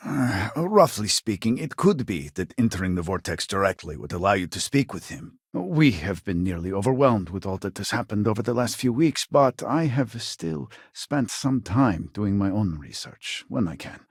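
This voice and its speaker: An actor playing a scholarly, earnest and weary researcher in a video game, speaking formally and precisely with a husky voice. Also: male voice, lowish voice, sing-song, no echo, studio quality, exaggerated voice. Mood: measured, formal. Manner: formal, measured, articulate, precise wording. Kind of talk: video game character dialogue.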